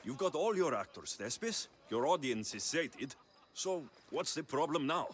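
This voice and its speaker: An actor playing a confident, wry young Greek mercenary hero in a video game, speaking in a direct, assured manner. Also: male voice, on the high side, big pitch swings, normal speed, fairly bright tone. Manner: direct, assured, dryly teasing. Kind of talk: video game cutscene acting.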